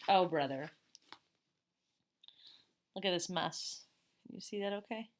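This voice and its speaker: A woman reading a picture book aloud, expressive, with chatty asides to young listeners. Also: fairly low pitch, measured pace, slight background noise.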